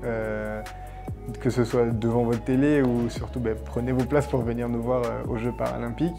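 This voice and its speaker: Flat-voiced young man over faint music. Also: mid-range voice, moderate pace, creaky. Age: 20s. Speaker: para-judo athlete. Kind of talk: interview answers.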